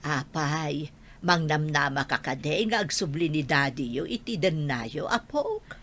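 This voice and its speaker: A woman's voice: low voice, expressive, measured pace, a bit bright, heavily acted. An older woman acting a worried elderly mother and grandmother in a Filipino audio drama. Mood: gentle.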